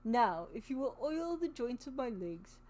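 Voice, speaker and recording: A woman's voice: a little high, very animated, unhurried, a little mellow. A woman voicing a polite, grateful male tin woodman who speaks in a mournful, courteous way. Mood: plaintive. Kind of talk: storybook reading.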